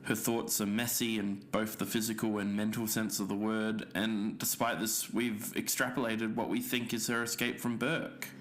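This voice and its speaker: A man's voice: lowish voice, level tone, moderate pace, few pauses, fairly bright tone, a little hiss or hum, lightly acted. A man playing a sober, methodical podcast investigator, explaining evidence carefully and with some hesitation. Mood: pensive. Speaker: podcast co-host. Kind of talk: scripted fiction-podcast narration.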